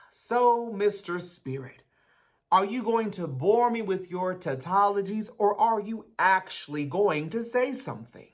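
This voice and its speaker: A woman's voice: medium pitch, lively intonation, a little slow. A woman playing a brainy, awkward schoolboy in a dramatic reading, speaking formally and pedantically. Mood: challenging, smug. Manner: pedantic, precise, formal wording.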